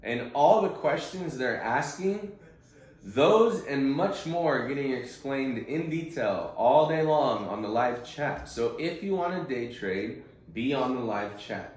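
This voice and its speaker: A man coaching day traders in an informal monologue, blunt and insistent, repeating words for emphasis. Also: mid-range voice. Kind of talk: informal instructional monologue on a group call. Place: conference call recording in echoey room. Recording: very echoey, reverberant room.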